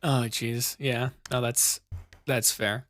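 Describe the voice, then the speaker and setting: A man's voice: medium pitch, steady tone, takes it slowly, a bit bright, no echo. An American man hosting a podcast, chatty, telling stories and swearing casually. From United States. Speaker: podcast host.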